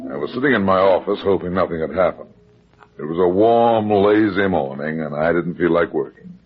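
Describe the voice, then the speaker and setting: A dull old radio recording with music carries a low-voiced male private detective. He is wry, laconic and unruffled, hard-boiled in the classic radio drama manner. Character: easygoing, wry male private detective telling his case. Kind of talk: first-person radio detective narration and dialogue.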